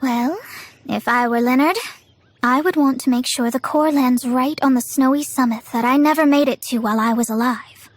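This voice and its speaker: A woman's voice: sits high, steady tone, normal speed, a little hiss or hum, natural voice. A woman voicing a proud, self-assured young astrologer in a video game, articulate and grandiose. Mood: explaining, assured.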